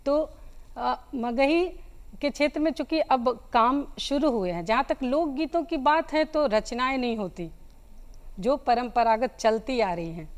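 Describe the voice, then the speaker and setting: High, clear-voiced Bihari woman. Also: unhurried. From Magadh region of Bihar, India. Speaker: folk singer and guest. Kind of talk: interview talk about folk songs.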